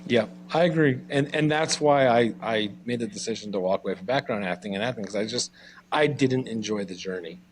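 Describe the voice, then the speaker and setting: A man's voice: lowish voice, a little fast, rough recording. A man hosting a casual interview podcast, speaking in a supportive, agreeable way with short affirmations. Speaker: podcast host.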